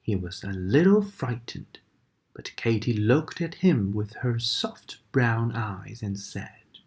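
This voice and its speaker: A woman reading a bedtime story aloud to children, gentle and animated. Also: rumbling low, takes it slowly. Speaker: storybook reader.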